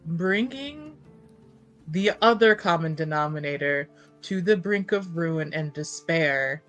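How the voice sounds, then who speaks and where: A woman voicing a composed, scheming noblewoman in a fantasy tabletop game, with formal and deliberate phrasing. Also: low-pitched, lively intonation, fairly bright tone, soft background music, full character voice. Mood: cool, resolved.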